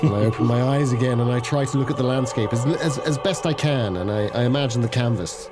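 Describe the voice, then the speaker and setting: A man playing a tabletop game, describing his character in a musing, drawn-out way. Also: mid-range voice, over music. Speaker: player. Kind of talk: in-character roleplay narration.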